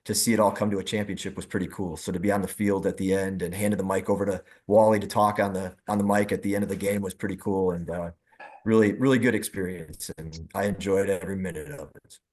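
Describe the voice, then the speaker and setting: A man reminiscing casually as a guest, rambling a little with halting, repeated phrases. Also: low voice, fairly even intonation, darkish tone. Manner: halting, repetitive, rambling delivery. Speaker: former baseball team front-office staffer, guest.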